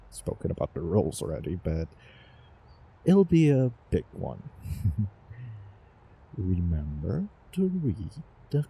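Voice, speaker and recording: A man playing a doting, obsessive young time traveler in love, in a theatrical romantic audio roleplay with halting, repeated words. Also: sits low, creaky, warm tone, husky, full character voice. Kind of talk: over-the-top romantic roleplay audio drama.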